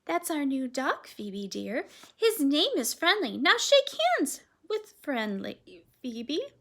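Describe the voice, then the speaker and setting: Woman reading as a patient mother, gentle, motherly, animated, storybook voice. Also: high voice, slow, voice pushed up, heavily acted. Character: warm patient middle-aged mother. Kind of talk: storybook read-aloud.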